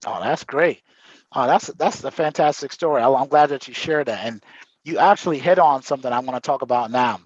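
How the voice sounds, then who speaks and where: A man's voice: mid-range voice, a little fast, husky, no echo. A man hosting an interview, effusive and full of praise for his guest. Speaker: host. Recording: dry, dull lo-fi sound.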